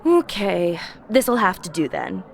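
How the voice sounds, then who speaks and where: Casual high school girl, some vocal fry, breezy, fantasy audio play. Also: wildly swooping pitch, a little slow, full character voice. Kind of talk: fantasy audio drama acting.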